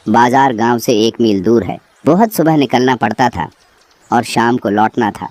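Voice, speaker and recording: A man's voice: medium pitch, normal speed, a little mellow, no echo. A man reading a scripted horror story as narrator.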